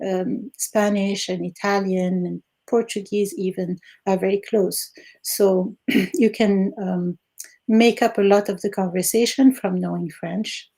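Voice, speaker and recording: A woman in her sixties from Algeria, a native Arabic speaker, answering interview questions as a guest with frequent laughs and fillers. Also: mid-range voice. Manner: warm, laughs often, fillers. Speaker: guest, retired Arabic teacher.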